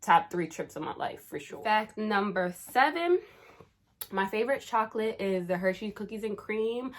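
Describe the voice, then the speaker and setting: A young woman in her twenties talks straight to her viewers in a relaxed, playful vlog style. She drops slang freely and keeps a chatty, conversational flow. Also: mid-range voice, normal speed. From Caribbean, raised in New Jersey. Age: 20s. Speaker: YouTuber. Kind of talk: casual vlog chat.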